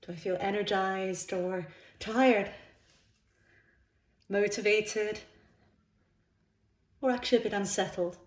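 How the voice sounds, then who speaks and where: A woman leading a guided yoga nidra relaxation as the instructor, speaking in soothing, unhurried phrases with pauses. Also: mid-range voice, steady tone. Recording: roomy, echoing sound.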